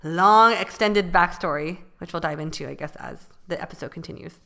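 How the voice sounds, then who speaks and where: A woman's voice: fairly low pitch, a little fast, creaky, sharp tone. A young woman co-hosting a podcast, chatting casually and filling her talk with "like". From California. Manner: chatty, fills with "like" often. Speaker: podcast co-host.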